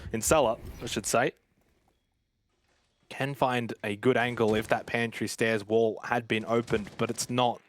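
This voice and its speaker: Man commentating esports, noticeable background noise. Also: mid-range voice. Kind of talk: live game commentary and analysis.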